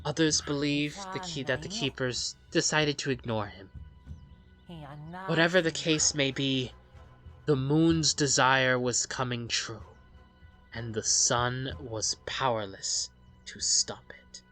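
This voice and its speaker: A woman's voice: rumbling low, slow, fairly bright tone. A woman hosting a let's play, reading a game's story aloud in a dramatic storyteller way and adding her own commentary. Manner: dramatic storyteller delivery with interjections. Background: game music.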